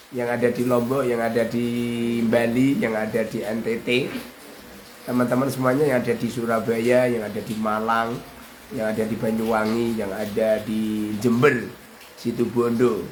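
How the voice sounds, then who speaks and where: A man's voice: mid-range voice, slight background noise. A man with a Javanese accent teaching casually on a livestream, joking and reeling off long lists. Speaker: Islamic boarding school teacher. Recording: roomy with faint ambience.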